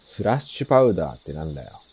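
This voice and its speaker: An actor playing a scornful, aggressive teenage delinquent in a Japanese radio drama, needling in rough slang with a raspy voice. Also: male voice, low voice, big pitch swings, rapid-fire, darkish tone, full character voice.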